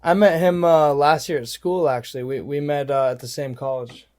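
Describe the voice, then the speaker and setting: Young dude hosting a podcast, kinda high voice, eager, says man and dude a lot. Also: steady tone, normal speed, a little mellow. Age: young adult. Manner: eager, loose talk with lots of "man" and "dude". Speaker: podcast host. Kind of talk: casual podcast conversation.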